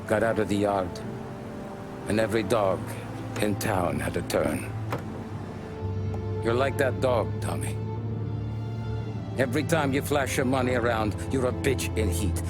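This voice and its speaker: Low-voiced, measured older mob consigliere. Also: male voice. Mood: stern warning. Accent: American. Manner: measured, authoritative, instructing. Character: calculating, fatherly older mob consigliere named Frank. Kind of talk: cinematic game acting, 1930s gangster drama. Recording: dramatic music behind the voice.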